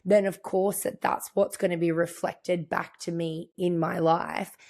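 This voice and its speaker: A young woman with a croaky, partly lost voice, chatting reflectively as a podcast host and voicing her own thoughts as she tells a story. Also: on the low side, level tone, measured pace, a little mellow. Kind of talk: casual storytelling monologue.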